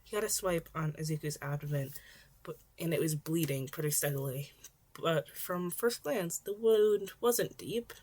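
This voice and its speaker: A woman's voice: deep voice, moderate pace, darkish tone, slightly rough. A woman narrating fan fiction in an amateur audiobook style, now and then stumbling and rereading words.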